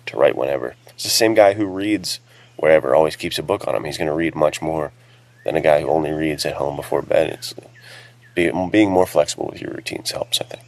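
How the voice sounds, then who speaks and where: Extremely deep-voiced, gravelly man. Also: fairly quick. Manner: informal, practical advice-giving. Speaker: podcast co-host and writer. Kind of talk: casual podcast chat.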